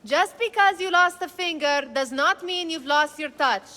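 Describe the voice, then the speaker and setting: A woman's voice: very high-pitched, moderate pace, pushed and bright. A woman playing a cheeky, playful young thief in a video game, teasing as she talks, in long reverb. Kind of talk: video game cutscene acting.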